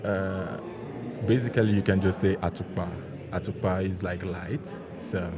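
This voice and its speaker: A man's voice: low voice, steady tone, moderate pace, a crowd in the background. A man, a Yoruba speaker, casually explaining his products as a guest in a mild, hesitant manner. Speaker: small business owner, interview guest. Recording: loud music behind the voice.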